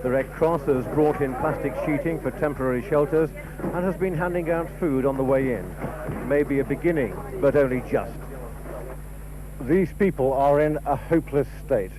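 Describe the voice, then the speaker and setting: A man's voice: medium pitch, warm tone, a little hiss or hum, low-quality recording. A man narrating a scripted television news report.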